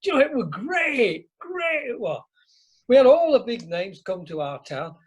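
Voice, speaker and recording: Man playing older Northern English husband, jovial, bluff, animated, slightly husky, amateur radio comedy. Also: very high-pitched, normal speed, full character voice. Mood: blustering, nostalgic. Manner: chuckling, blustering, colloquial. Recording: online meeting recording.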